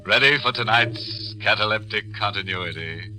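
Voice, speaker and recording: An actor playing a gleefully macabre horror host in 1940s radio style, sardonic and mock-cheerful. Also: male voice, lowish voice, pushed and bright, low-quality recording. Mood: mock welcoming. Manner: sardonic, mock-cheerful, drawn-out delivery with punning gallows humor. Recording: old 1940s radio recording with music.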